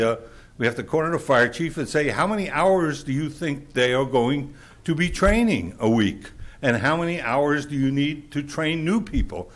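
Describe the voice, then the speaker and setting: Loud, blunt man giving public comment. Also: on the high side. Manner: blunt, exasperated, lecturing. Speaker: public commenter at a city council meeting.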